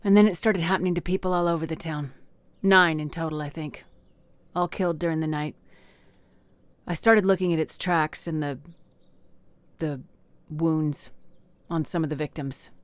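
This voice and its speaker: A woman's voice: sits low, fairly even intonation, normal speed, no echo, natural voice. A woman playing a blunt, plain-spoken peasant fisherwoman grieving her brother in a fantasy audio drama. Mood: guarded, sombre.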